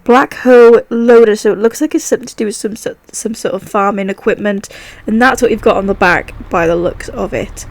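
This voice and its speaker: Croaky woman narrating gameplay. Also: mid-range voice, a little fast. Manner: chatty, upbeat, thinking aloud while playing. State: croaky sore throat. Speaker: gaming channel host. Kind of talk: casual gameplay commentary.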